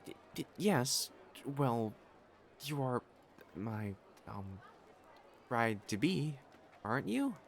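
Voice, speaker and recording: A man's voice: medium pitch, very animated, takes it slowly, creaky, long pauses, a little hiss or hum, full character voice. A man playing a pompous, easily flustered young divine matchmaker in a romantic audio roleplay, speaking formally and stammering. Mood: scolding, flustered. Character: haughty, flustered, lovestruck young male divine matchmaker in imperial China.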